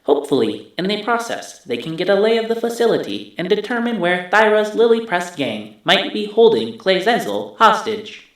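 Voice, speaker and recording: Male machine recap narrator, methodical, high pitch, swooping intonation, very heavy echo. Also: very animated, normal speed, a bit bright, exaggerated voice. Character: neural-link machine narrator recounting stored memories, methodical. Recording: heavily echoing voice.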